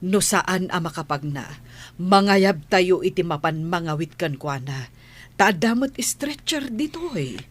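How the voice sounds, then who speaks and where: A Filipino woman with a husky voice playing a concerned, helpful neighbour in an audio drama. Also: fairly low pitch, lively intonation, unhurried. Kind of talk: Ilocano audio drama acting.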